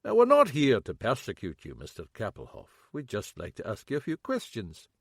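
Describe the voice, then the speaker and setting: A man voicing an older detective inspector who speaks calmly with understated, dry humour. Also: on the low side, a little fast, vocal fry, slightly rough, dry recording, heavily acted. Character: older Scottish male detective inspector, calm, dry-witted.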